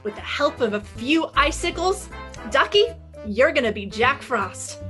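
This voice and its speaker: A woman's voice: high-pitched, very animated, unhurried, sharp tone, soft background music, full character voice. An actress playing a witty, flirtatious, self-assured female private eye in a 1950s-style radio detective play, with breezy, wisecracking delivery. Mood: teasing, coaxing. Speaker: lead actress and first-person narrator.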